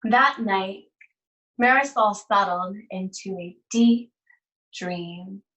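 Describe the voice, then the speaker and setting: A woman teacher reading a children's storybook aloud, with deliberate, expressive phrasing and pauses. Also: medium pitch, recorded from a distance, rough recording.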